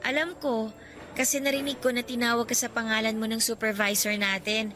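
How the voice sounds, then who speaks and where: A woman acts out an insecure, soft-hearted young workmate in a radio drama. Her voice is fairly high and bright, hesitant yet lightly teasing, over quiet background music. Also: level tone, a little fast. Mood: shy. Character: shy, insecure young female co-worker, easily amused. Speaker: drama voice actor.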